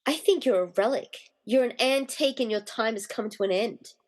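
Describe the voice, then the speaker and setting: Lady doing a defiant wife in a podcast radio play, bit high, blunt, indignant, wont back down. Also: fairly quick, natural voice. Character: determined, defiant wife confronting a villain.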